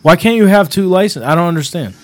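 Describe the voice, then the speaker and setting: A man's voice: sits high, fast talker. A man co-hosting a casual podcast, telling stories animatedly and swearing freely. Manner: animated, swearing, laughing storyteller. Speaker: podcast co-host. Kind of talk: casual chat.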